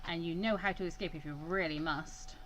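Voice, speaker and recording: Woman doing a low-pitched, brusque male mentor. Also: fairly even intonation, a little fast, a bit bright, slight background noise, natural voice. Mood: reassuring. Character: calm, brusque male senior mediator, protective mentor.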